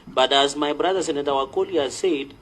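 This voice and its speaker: A man with a Kenyan accent and a fairly high voice speaks in a formal parliamentary setting. He lays out his argument deliberately and insistently, as a senator addressing a committee. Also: a bit bright.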